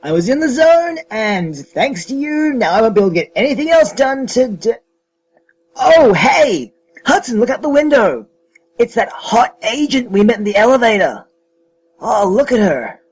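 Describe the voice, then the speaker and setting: A man's voice: extremely high pitch, very animated, normal speed, slightly rough, exaggerated voice, low-quality recording. A man playing a cocky, impulsive young amateur detective in a comedic audio play, breezy and boastful. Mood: excited.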